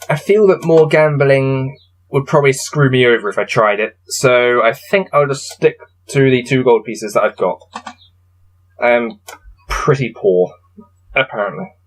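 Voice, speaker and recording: A man's voice: mid-range voice, rough recording. A man hosting a casual gamebook playthrough, reading passages aloud and adding wry, self-deprecating asides. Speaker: host playing through a gamebook.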